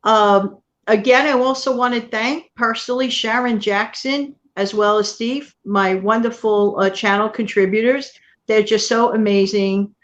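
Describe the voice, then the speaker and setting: A woman's voice: a little high, steady tone, measured pace, highs cut off. A middle-aged woman hosting her channel in casual chat, rambling and laughing often. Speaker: channel host. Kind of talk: casual live-stream chat.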